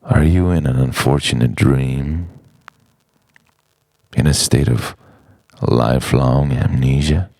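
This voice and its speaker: A man narrating an introspective spoken-word monologue in halting, musing phrases full of rhetorical questions. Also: rumbling low, gravelly, darkish tone, dry recording. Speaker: narrator of a personal art film.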